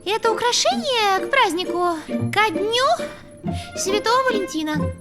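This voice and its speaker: A woman voicing a busy, bossy young cartoon creature, eager and directing others briskly. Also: extremely high pitch, animated. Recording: loud music behind the voice.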